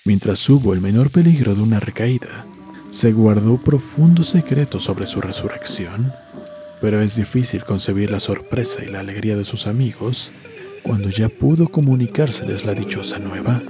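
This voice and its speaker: A man reading a scripted horror story in a measured, literary storytelling manner. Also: lowish voice. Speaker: narrator. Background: music.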